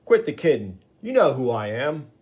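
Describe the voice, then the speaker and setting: A man voicing a touchy, shrill man in an ape suit who rants and pleads to be taken seriously. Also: sing-song, normal speed, husky. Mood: pushy. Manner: whining, rising to frantic yells.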